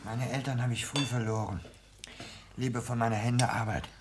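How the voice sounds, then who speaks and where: An actor playing a meek, earnest, sickly young barber, speaking formally and plaintively in a 1960s German radio play. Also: male voice, mid-range voice, steady tone, normal speed, lightly acted. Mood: wistful. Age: young adult. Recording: old radio recording with faint noise.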